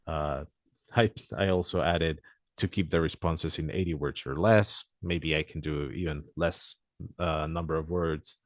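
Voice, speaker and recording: A man presenting a software demo walkthrough in a webinar, talking hesitantly with frequent "uh" fillers. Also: extremely low pitch, fairly even intonation, unhurried, no room sound, clean studio sound.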